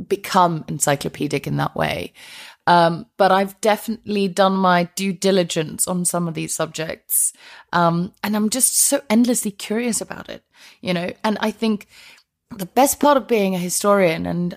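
An Icelandic woman talks conversationally as an interview guest. Her voice sits a little low, with plenty of creak. She explains things in a chatty, enthusiastic and reflective way. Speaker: interview guest, transport historian.

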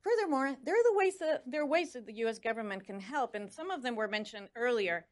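A woman's voice: on the high side, expressive, quick pace. A woman whose first language is Spanish, speaking with a Spanish accent as she gives a prepared speech as a commissioner, earnest and urging. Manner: earnest, urging, stumbles over foreign names. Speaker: commissioner on religious freedom.

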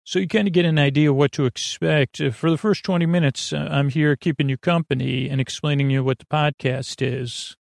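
A man hosting a sleep podcast in a rambling, conversational bedtime monologue, halting and drifting off on tangents in a gravelly voice. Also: on the high side, steady tone, no echo, clean studio sound.

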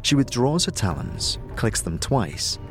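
A man's voice: mid-range voice, very animated, measured pace. A man narrating a scripted fantasy audio drama in the manner of a storyteller. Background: music.

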